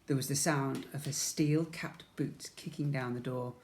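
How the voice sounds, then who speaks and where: A man's voice: mid-range voice, steady tone, normal speed, a little mellow, a little hiss or hum. A man reading a storybook aloud expressively to young children, as a schoolteacher would.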